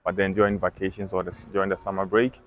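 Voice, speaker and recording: Man from ghana, low voice, measured with pauses, student trainee, music behind. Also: level tone. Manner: measured, with hesitations.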